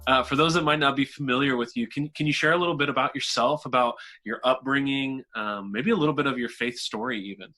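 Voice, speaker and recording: Male church show host, eager and chatty, music bed clearly audible underneath. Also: medium pitch, fast, few pauses, pushed and bright. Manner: enthusiastic, filler-heavy, self-described fanboying. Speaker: church podcast host, pastor. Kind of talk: casual interview.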